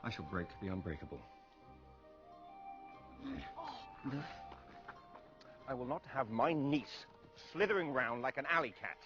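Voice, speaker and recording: An actor playing a bold, stern gentleman explorer in a film drama, brisk and authoritative. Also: mid-range voice, big pitch swings, natural voice. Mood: stern, defiant. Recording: dull film audio with faint music.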